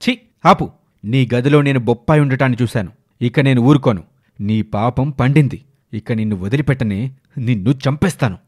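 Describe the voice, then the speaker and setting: A man plays a domineering village husband for an animated moral tale. His voice is mid-pitched and theatrical, in the manner of dramatic story dubbing. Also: normal speed, breathy edge, close to an everyday voice. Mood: furious. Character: domineering village husband, scheming then wrathful.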